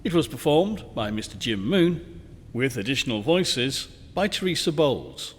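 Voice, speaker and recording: A man reads the outro of a horror story podcast in a theatrical announcer style. His mid-pitched voice moves expressively up and down, wrapped in long reverb. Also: sing-song, moderate pace, husky. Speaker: podcast narrator reading closing credits. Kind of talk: scripted narration, spooky story outro. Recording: long reverberant hall effect.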